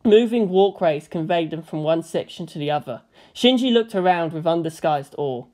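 A woman's voice: on the low side, lively intonation, normal speed, dark tone. A woman narrator reading a scripted story as an audiobook.

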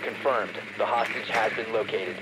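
A man's voice: on the low side, steady tone, normal speed, heavy background noise, close to an everyday voice. An actor voicing a terse male soldier in a game, giving clipped military callouts. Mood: curt. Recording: game audio over loud music and gunfire.

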